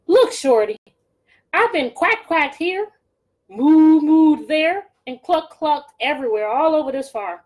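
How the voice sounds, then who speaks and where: A woman voicing a weary old farmer in a storybook, complaining in slow, drawn-out phrases. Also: high voice, lively intonation, a little mellow, pitched above the performer's own voice. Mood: exasperated.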